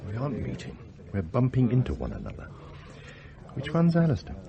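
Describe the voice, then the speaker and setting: An actor playing a cocky, confident television investigator in a radio drama, brisk and conspiratorial. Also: male voice, medium pitch, wildly swooping pitch, gravelly, muffled recording, a crowd in the background. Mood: cocky, urgent.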